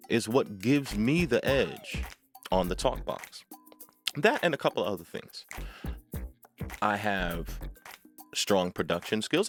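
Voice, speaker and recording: Animated low-pitched man giving a music tutorial. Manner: emphatic, deliberate phrasing with rhetorical tag questions. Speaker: music tutorial host, vocalist and producer. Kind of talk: informal instructional talk to camera.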